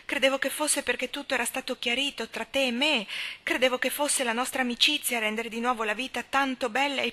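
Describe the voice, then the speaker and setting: A woman's voice: medium pitch, pushed and bright. A woman reading a story as an anguished, restless young woman who speaks in vehement, exclaiming bursts. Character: anguished young woman confessing a hopeless secret love.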